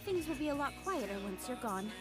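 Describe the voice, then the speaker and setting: Guarded young game girl, quietly fond, high voice, music under it. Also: very animated, a little fast. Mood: subdued, guarded. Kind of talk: video game voice acting. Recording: music behind the voice.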